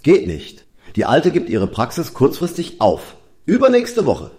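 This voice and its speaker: Whiny, animated male blogger. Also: fairly high pitch, a little slow. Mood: grumbling. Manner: whiny, self-important. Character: needy, self-pitying blogger addicted to online applause. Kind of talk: satirical radio play dialogue.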